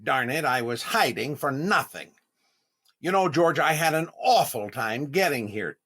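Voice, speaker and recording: An actor playing a vain, eager, easily flustered comedian in 1930s radio comedy style, with drawn-out exclamations and stammering. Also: male voice, medium pitch, lively intonation, a bit bright. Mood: needy, indignant.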